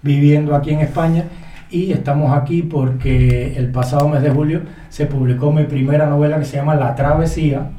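A man with a Cuban accent, chatting easily as a guest in a radio interview and telling stories in a relaxed way. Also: on the high side, a little fast. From Cuba. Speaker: guest, radio colleague and author. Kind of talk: casual radio interview chat. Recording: echoey studio, distant mic, faint music.

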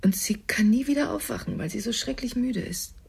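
An actress playing a tender, sorrowful carer who softly consoles a small boy in a radio play. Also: on the high side, fairly quick, exaggerated voice. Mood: tender, sad. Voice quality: very breathy, husky. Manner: soft, soothing. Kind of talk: radio drama acting.

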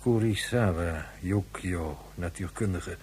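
A sceptical but fair psychiatrist in a radio drama. His voice is very husky and soft-edged, with a mellow, dark tone, and he speaks formally and thoughtfully. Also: deep voice, full character voice. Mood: calm, measured. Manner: deliberate, formal, pensive. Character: measured, authoritative male psychiatrist and director, sceptical but fair. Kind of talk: radio play dialogue.

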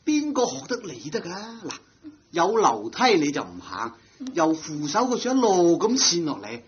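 A man's voice: a little high, huge pitch swings, normal speed, muffled recording. An actor playing a flustered, henpecked middle-aged father in a Cantonese radio drama, grumbling and hesitant but fond.

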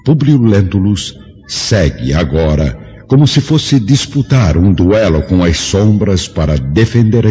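A man's voice: sits low, warm tone. A man narrating a radio drama in a solemn, literary, dramatic scripted style. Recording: dull lo-fi radio drama, music behind.

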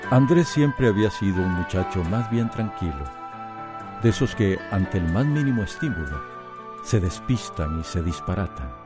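A man delivers scripted narration for a radio drama. His voice is deep and sits over clearly audible music. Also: lively intonation, moderate pace.

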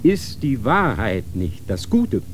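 Cold, worldly inquisitor in a radio play, unhurried lecturing delivery. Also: male voice, lowish voice, very animated, noisy background. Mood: severe. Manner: lecturing, severe, rhetorical questions. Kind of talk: radio drama acting.

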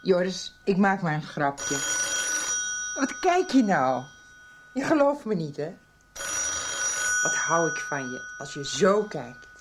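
An actress playing a gossipy, sharp-tongued middle-aged mother in a radio drama, exclaiming and judgmental. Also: fairly low pitch, big pitch swings, takes it slowly, long pauses, heavy background noise. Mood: teasing, prying.

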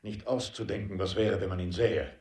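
A man's voice: deep voice, steady tone, normal speed, darkish tone, natural voice. An actor playing a stern, ashamed middle-aged father in a radio drama, speaking in a curt, bitter way. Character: stern, ashamed father of a reclusive grown son.

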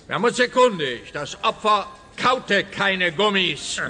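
A man's voice: high voice, very animated, unhurried, bright, forceful tone, long reverb tail, heavily acted. A man playing a pompous, self-satisfied police detective in a radio play, lecturing with emphasis. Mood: self-important. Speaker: supporting actor.

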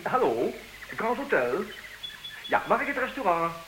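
Suave rich bachelor in radio comedy, condescending, really lively higher voice, hissy old recording. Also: male voice, a little slow. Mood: breezy, commanding. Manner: condescending, theatrical, mock-polite. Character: suave, teasing, idle wealthy Italian bachelor. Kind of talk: comedic radio play acting.

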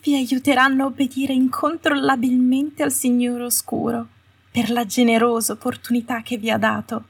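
A woman's voice: fairly high pitch, level tone, normal speed, husky, voice pushed up. A woman voicing a sugary, cruel female official in an audiobook, coaxing and condescending, with falsely sweet delivery. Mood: smugly sweet. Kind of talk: audiobook character voice. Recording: roomy echo, distant from the mic.

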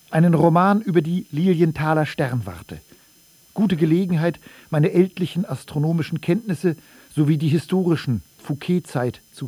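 A man reading dated letters and notes aloud in a radio production, measured and matter-of-fact. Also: a little high, mellow, breathy edge, dry recording. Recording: dry studio.

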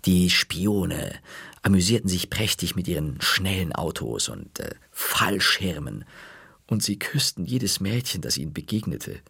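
A man narrating a children's story in the first person, calm, dropping to a whisper in quiet moments. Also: deep voice, unhurried, gravelly, husky, no room sound, studio quality.

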